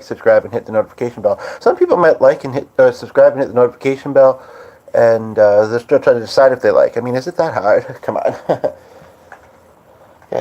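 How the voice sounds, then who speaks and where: A man's voice: medium pitch, darkish tone, highs cut off. A man hosting a live stream, chatting casually and meandering with lots of fillers and restarts. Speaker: podcast host. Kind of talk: rambling live-stream chat.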